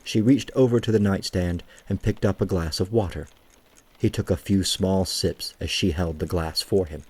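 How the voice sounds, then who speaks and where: A man with a very low, mellow voice narrates a scripted story. His tone is dark and husky, and he tells it in a measured, gentle way, as an audio drama narrator. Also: fairly even intonation.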